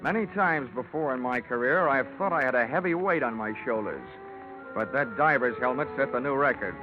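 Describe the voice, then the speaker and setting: An actor playing a wry, hard-boiled insurance investigator, wisecracking and dry, in old-time radio detective style. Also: male voice, medium pitch, lively intonation, brisk, natural voice. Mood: wry narrating. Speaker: lead actor and narrator. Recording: dull vintage radio recording with music.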